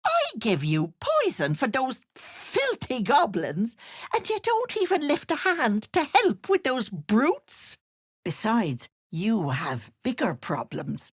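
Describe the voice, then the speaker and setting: A woman's voice: on the high side, wildly swooping pitch, slow, slightly rough, dry recording, studio quality, full character voice. A woman voicing a sly old hag in a video game, sweet-talking with cloying endearments and veiled menace. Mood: scornful, scolding. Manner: cloying endearments laced with threats. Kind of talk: video game character voice lines.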